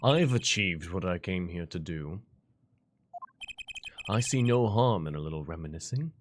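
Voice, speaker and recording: A man's voice: low voice, expressive, a little slow, slight background noise, voice pushed down. A man reading as a cold, condescending lawyer. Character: calm, condescending older male defense attorney. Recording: game music behind the voice.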